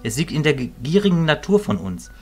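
Man acting a male mentor, calm, measured, reassuring, fantasy audio drama, clear music behind. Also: fairly high pitch. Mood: philosophical.

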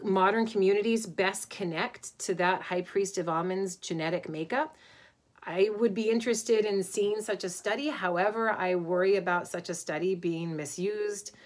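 A middle-aged woman, an Egyptologist, lecturing informally to a webcam from her home study, thoughtful and pausing with many ums and restarts. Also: medium pitch. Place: home study with bookshelves, indoors.

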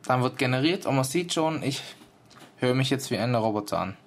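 A man's voice: mid-range voice, animated, brisk. A man giving a casual, informal demonstration of an app, explaining it step by step as its reviewer.

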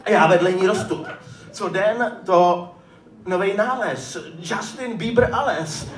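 A man performing slam poetry live in a theatrical, colloquial style with dramatic swings. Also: high voice. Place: live poetry slam event before an audience. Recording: roomy, noisy, distant live stage recording.

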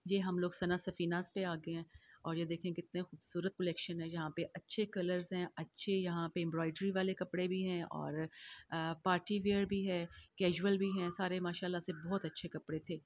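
Flat, low-pitched woman narrating a vlog. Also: brisk, dry recording. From Pakistan. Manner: chatty, homely narration with frequent fillers. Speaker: vlogger.

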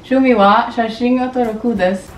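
A woman's voice: on the high side, steady tone, moderate pace, a little hiss or hum. A woman of about eighteen giving a careful, rehearsed self-introduction while seated at a desk in her bedroom. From Brazil. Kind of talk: short self-introduction to camera. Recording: echoey room, mic a bit far.

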